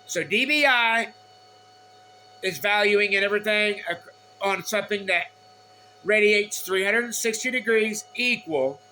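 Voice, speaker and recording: Male explainer, high and bright voice, informal, choppy note-reading delivery, slightly noisy recording. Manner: halting, repetitive, reads in short phrases. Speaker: explainer of radio antenna measurements.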